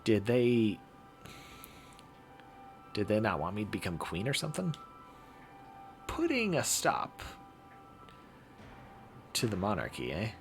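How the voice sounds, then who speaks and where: A man voicing an anxious young royal heiress from a visual novel game, in a halting, questioning way. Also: lowish voice, huge pitch swings, faint music behind, heavily acted. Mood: troubled, questioning.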